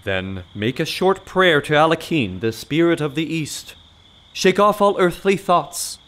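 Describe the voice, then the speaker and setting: A man's voice: fairly high pitch, animated, measured pace, a bit bright. A man playing a con man who poses as a learned mystic in an audio farce, declaiming grandly and with authority in period English. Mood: solemn, commanding.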